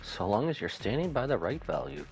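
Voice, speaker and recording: A man's voice: medium pitch, sing-song, a little fast, vocal fry, faint music behind. A man giving casual commentary as he plays through a video game. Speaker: gaming streamer.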